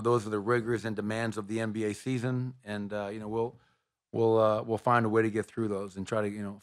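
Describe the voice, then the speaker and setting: A middle-aged man, a basketball head coach, answering reporters' questions at a postgame press conference in a press room, speaking into a table microphone with measured answers and frequent fillers. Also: fairly low pitch. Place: press room in front of a sponsor backdrop.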